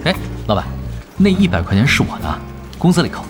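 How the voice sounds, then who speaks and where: A man's voice: medium pitch, sing-song, fairly quick, loud music behind the voice, lightly acted. An actor playing a laid-back, cheeky young male gamer who is offhand and blunt, in an audio drama. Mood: sheepish, cheeky. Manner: offhand, hesitant mumbles turning blunt.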